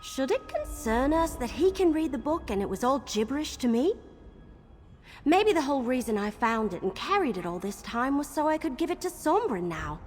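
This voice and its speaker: An actress playing an earnest, worried adventurer companion in a video game, speaking expressively. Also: female voice, high voice, sing-song, moderate pace, slight background noise. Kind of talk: video game character acting. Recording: reverberant hall, game music behind.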